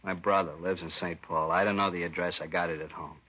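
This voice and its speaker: An actor playing a sick, evasive, pleading drug addict under arrest in a 1950s radio crime drama, slangy and defensive. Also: male voice, low voice, fairly even intonation, fast talker. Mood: sullen. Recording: dull, lo-fi vintage radio recording.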